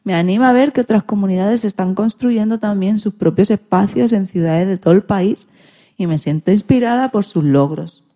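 A woman's voice: mid-range voice, normal speed, mellow. A woman speaking as a presenter on a radio programme. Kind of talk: radio talk.

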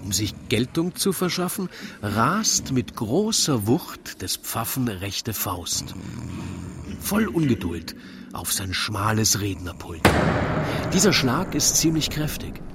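A man narrating a scripted rhyming story in a theatrical, deliberate storytelling manner. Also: mid-range voice, big pitch swings, background noise.

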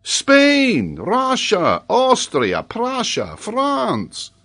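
Very high-voiced, earnest schoolboy. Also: male voice, very animated, unhurried, exaggerated voice. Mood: earnest, assured. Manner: matter-of-fact, reciting in lists. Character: earnest, unshakably confident native schoolboy reciting his lessons.

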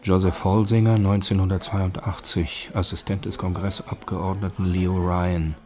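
A man's voice: sits low, level tone, moderate pace, darkish tone. A man reading scripted documentary material in a formal, prepared delivery.

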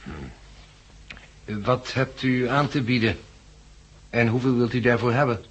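A man's voice: mid-range voice, unhurried, a bit bright, breathy edge, highs cut off. An actor playing a cautious, formal businessman in a 1960s Dutch radio drama. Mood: wary. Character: cautious businessman, astonished yet wary. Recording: faintly noisy old radio recording.

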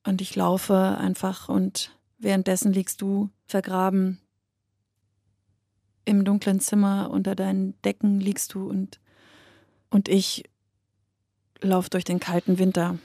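Mellow, somber, even-toned woman narrator. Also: medium pitch, slow pace, creaky, pauses often, dry recording, studio quality. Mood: somber, reflective. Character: somber woman narrator addressing a withdrawn loved one. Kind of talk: literary radio drama monologue.

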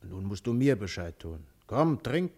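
A man playing a huge, menacing but roguish robber in a German radio play, taunting and swaggering with rough camaraderie. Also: lowish voice, sing-song, normal speed, a little mellow. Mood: roguish, jovial. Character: huge, menacing male robber, roguishly jovial, armed with club.

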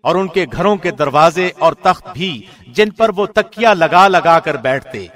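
A man reading a scripture translation from a script in a formal, measured announcer's delivery. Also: on the high side, expressive, a bit bright, faint music behind. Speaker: translation reader, radio host.

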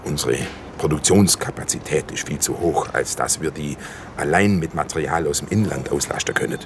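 An actor playing an evasive, officious slaughterhouse manager in a naturalistic radio drama, talking in formal, defensive corporate phrases. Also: male voice, very deep voice, normal speed, background noise. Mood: confiding, uneasy.

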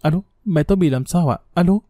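A man's voice: on the high side, fast talker, dark tone, no echo, studio quality, pitched above the performer's own voice, natural voice. A man playing a tearful young pregnant woman. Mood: anxious. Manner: pleading, choked with tears.

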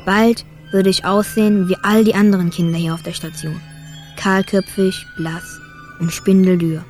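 A woman's voice: mid-range voice, fairly even intonation, normal speed, darkish tone, soft background music, lightly acted. A woman playing an Afghan boy who narrates his illness simply and plainly in a radio play. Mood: matter-of-fact, resigned. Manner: simple, matter-of-fact.

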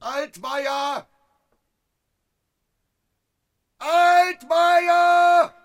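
Reluctant criminal sidekick, high-pitched voice, anxious, unsure, radio play. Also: male voice, fairly even intonation, drawn-out words, heavily acted. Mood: alarmed, calling out. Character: nervous, reluctant male accomplice drawn into crime. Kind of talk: German crime radio drama acting.

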